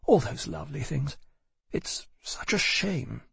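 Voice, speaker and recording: A man reading aloud, voicing a kind, regretful woman who speaks gently and earnestly. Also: fairly high pitch, expressive, unhurried, gravelly, breathy edge, dry recording, voice pushed up, full character voice. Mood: sympathetic. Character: kindly, regretful middle-aged woman.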